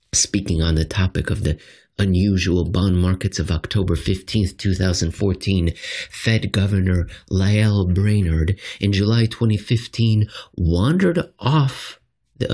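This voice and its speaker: A man narrating a written finance commentary in an expressive, scripted style with dramatic, deliberate phrasing. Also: fairly low pitch, breathy edge.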